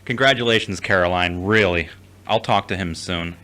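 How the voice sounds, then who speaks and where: A man's voice: deep voice, moderate pace, pushed and bright. An actor playing a guarded, skeptical man in an audio drama, with a terse, dry, blunt delivery. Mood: rueful.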